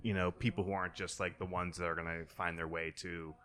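A man's voice: sits low, little pitch movement, fast talker, pushed and bright. A man hosting a casual interview, talking in a conversational way as he shares his own thoughts with a guest. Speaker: interview host.

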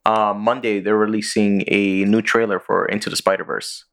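A man hosting a podcast in casual chat, rambling through stories with quick asides. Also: lowish voice, level tone, creaky, a bit bright. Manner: rambling storyteller, sings and does quick voices. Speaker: podcast host.

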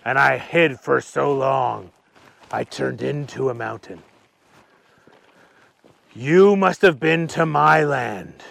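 A man voicing a big, gentle, forgetful dragon in a children's story, slow and drawn-out with booming exclamations. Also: creaky, no room sound, full character voice. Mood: slow, musing.

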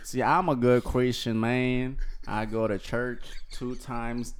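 A man doing a halting Southern radio caller. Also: mid-range voice, level tone, a little hiss or hum, close to an everyday voice. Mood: complaining. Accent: put-on mixed Southern US. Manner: hesitant, drawn-out delivery.

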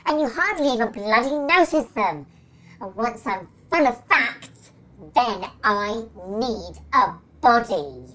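A woman's voice: a little high, expressive, slow, a bit bright, rough recording. A woman voicing a sarcastic, restless brain in a jar that craves a body and rants in rhyme. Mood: hungry. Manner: sardonic, then chanting a rhyming rant. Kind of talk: stage drama acting.

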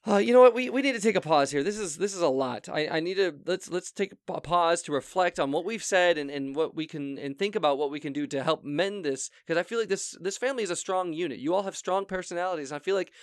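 A flustered therapist host, played by a man, talking quickly and stumbling over his words. The voice sits slightly high and is recorded dry and close. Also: no echo, natural voice. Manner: flustered, stammering, fast.

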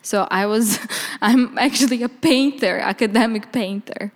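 A woman's voice: on the high side, unhurried, long reverb tail. A young woman from Bosnia, an artist, talking candidly and reflectively in an interview, often laughing. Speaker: artist guest. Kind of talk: conversational interview.

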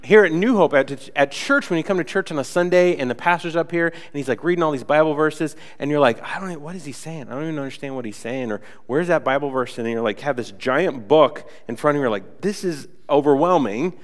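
A man's voice: on the high side, fairly quick, few pauses, big-room sound. A young man preaching a casual, conversational sermon in a church, a bit nasal from a cold and full of chatty fillers. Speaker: pastor. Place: church sanctuary.

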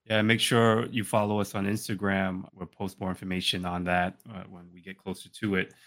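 A man co-hosting a podcast, chatting casually and telling stories in an animated way, often repeating his phrases. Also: low-pitched, brisk. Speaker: podcast co-host.

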